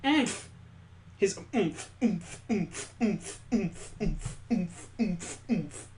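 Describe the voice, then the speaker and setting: A man's voice: high-pitched, lively intonation, very slow, a little mellow, a little hiss or hum. A man on a stream reading a visual novel aloud, breaking off for crude, joking asides and mock sound effects.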